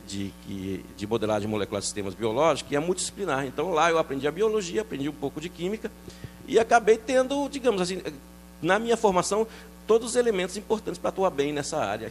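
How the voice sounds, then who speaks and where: A middle-aged man from France, a researcher explaining his work in a TV interview, quick and animated, with many restarts and fillers. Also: a little high, a little hiss or hum. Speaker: researcher guest.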